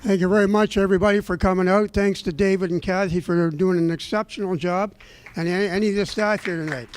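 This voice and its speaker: A middle-aged man at a local debate who speaks earnestly but rambles and circles back on himself. His voice is notably high for a man. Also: steady tone, fast talker, noisy background. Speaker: election candidate. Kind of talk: debate closing remarks.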